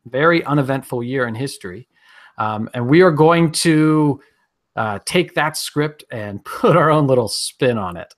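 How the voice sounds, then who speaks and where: A man's voice: medium pitch, animated, measured pace. A man hosting a live show in casual, chatty talk. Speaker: host.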